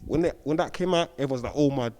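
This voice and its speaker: A man's voice: on the high side, fast, darkish tone. A young man with a London accent, a drill rapper talking casually in an interview, slangy and laid-back, his voice muffled behind a mask. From born in Somalia, raised in Homerton, London.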